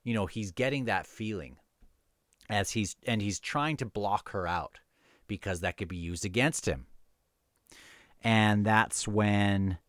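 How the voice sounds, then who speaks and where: A man casually talking about and reading from a novel, earnest and somewhat halting as he thinks aloud. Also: on the low side, fairly bright tone, dry recording, studio quality. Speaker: book reviewer and reader. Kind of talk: casual book discussion mixed with read-aloud novel passages.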